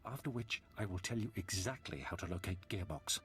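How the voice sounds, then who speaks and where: An actor playing a proud captive nobleman in a video game, speaking in a measured, formal, haughty manner. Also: low-pitched, expressive, a bit bright. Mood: bargaining. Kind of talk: scripted video game dialogue. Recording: clear music behind the voice.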